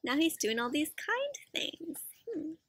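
Casting: young woman, children's read-aloud host, high-pitched and animated, inviting little listeners in with questions. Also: measured pace, no echo. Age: young adult. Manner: expressive, asks frequent questions, gasps. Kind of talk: interactive picture-book read-aloud for children. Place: outdoors in a fenced backyard.

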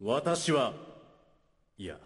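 Slow, pompous prosecutor. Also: male voice, mid-range voice, very animated. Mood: flustered, haughty. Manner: formal, pompous, halting. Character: stiff, proud prosecutor, easily embarrassed. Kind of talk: live stage skit acting. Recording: echoey hall, noisy background, clicks.

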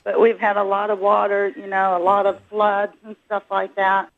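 A woman's voice: medium pitch, monotone, normal speed. American lady calling into radio, casual chat, kinda muffled phone sound. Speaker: caller. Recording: dull phone-in line.

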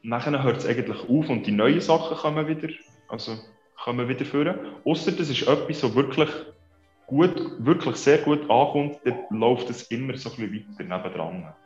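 A man's voice: medium pitch, brisk, darkish tone. Swiss German man, echoey dull sound. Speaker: interview guest, social media creator. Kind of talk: casual interview chat. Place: small room indoors on a video call. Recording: echoey, dull video-call sound, music behind.

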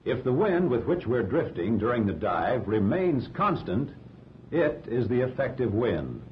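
1940s American training film narrator, dull rumbly soundtrack. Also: male voice, big pitch swings, slight background noise. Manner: measured, didactic.

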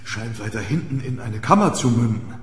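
A man playing an eager, commanding archaeologist in a horror radio play, dramatic and excitable. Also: medium pitch, moderate pace, lightly acted. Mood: absorbed, assured. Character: eager, commanding male archaeologist exploring a tomb. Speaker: audio drama actor. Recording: roomy, long echo, background noise.

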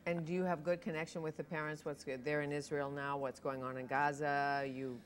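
A woman's voice: deep voice, level tone, brisk, slight background noise. A middle-aged woman hosting a TV studio interview, chatty and steering the guest with leading questions. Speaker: TV talk show host.

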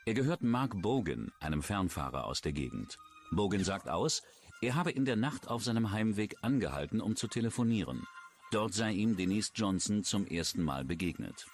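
A man's voice: fairly low pitch, big pitch swings, normal speed. A man reading scripted true-crime narration as a documentary narrator.

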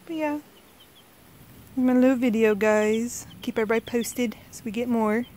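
A woman's voice: fairly high pitch, moderate pace, warm tone. A woman talking casually to her phone camera outdoors in a backyard, coaxing her pets in a sing-song voice. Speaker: vlogger. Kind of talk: casual chat. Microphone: handheld phone camera.

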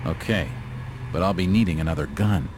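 An actor playing a wry, hard-boiled investigator in a video game, with a dry, understated delivery. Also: male voice, low voice, a little fast, background noise, close to an everyday voice. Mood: grim, uneasy. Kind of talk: video game cutscene acting.

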